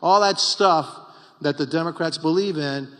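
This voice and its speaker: A man's voice: fairly high pitch, normal speed, a bit bright, big-room sound. A middle-aged Cuban-born man, a congressman and former mayor, giving emphatic, repetitive panel remarks at a political retreat. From Cuba.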